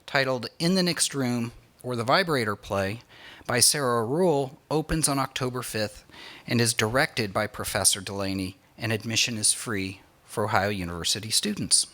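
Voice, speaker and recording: A middle-aged American man hosts a public talk from a stage microphone. His voice is bright and mid-pitched, and he reads his formal introductions in a measured way. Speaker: event host introducing speakers. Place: indoor stage at a library event. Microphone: microphone on a stand.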